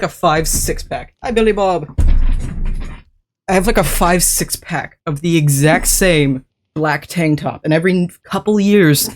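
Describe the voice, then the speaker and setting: A game streamer chatting casually with a live audience while a little sick, voice deeper than usual, rambling and laughing often as they greet and thank viewers.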